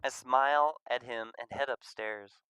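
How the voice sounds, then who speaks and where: A man narrates a visual novel in an expressive, scripted style. His voice is bright and medium in pitch, and he reads at an unhurried pace. Faint music plays underneath. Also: low-quality recording.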